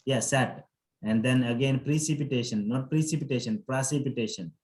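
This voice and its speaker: A man's voice: mid-range voice, brisk, a little mellow. A man coaching students for an English test, instructively correcting pronunciation and repeating words for emphasis. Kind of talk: interactive lesson, correcting pronunciation. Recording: echoey room sound.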